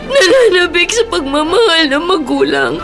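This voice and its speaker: A woman's voice: extremely high pitch, lively intonation. An actress playing a generous but wounded woman employer in an emotional Filipino radio drama. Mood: anguished, tearful. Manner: emotional, halting, pleading. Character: tearful, wounded woman employer, generous, longing for parents' love. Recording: radio drama with music underneath.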